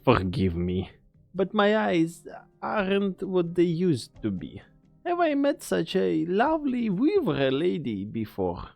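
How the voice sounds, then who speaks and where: A woman playing a sly, courteous old male research director in a game fan dub, with a creaky voice and drawn-out delivery. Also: lowish voice, a little mellow, heavily acted. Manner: slow, drawn-out, mock-courteous.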